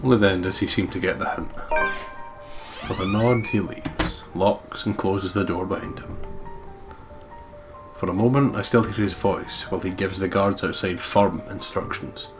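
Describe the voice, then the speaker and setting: A man's voice: low voice, moderate pace. A man co-hosting a let's play, acting out script lines expressively and chatting casually between them. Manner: expressive, emotive line reading. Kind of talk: dramatic read-aloud of game script with casual chat. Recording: game music behind the voice. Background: video game music.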